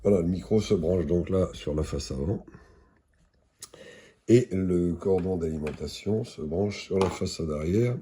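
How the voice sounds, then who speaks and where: A man giving an unscripted product demonstration in a halting, matter-of-fact, explanatory way. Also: low-pitched, dark tone, slight background noise. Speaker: product presenter.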